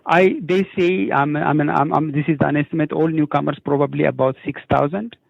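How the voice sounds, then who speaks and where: A middle-aged man with an Ethiopian accent answers interview questions haltingly, repeating words and stammering on word starts, on a narrow lo-fi recording. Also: on the high side, fairly even intonation, creaky, no echo. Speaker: newcomer program coordinator, interview guest.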